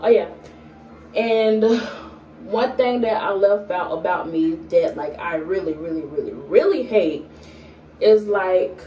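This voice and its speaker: A young woman, chatting to camera in a relaxed way, with many fillers and an occasional stutter. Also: lowish voice, far from the mic, soft background music. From Atlanta, Georgia. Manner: unhurried, with frequent fillers and pauses; stutters at times. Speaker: vlogger. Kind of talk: casual get-to-know-me chat to camera. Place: small indoor room at home. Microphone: camera in front at arm's length. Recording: echoey room, voice a bit off-mic.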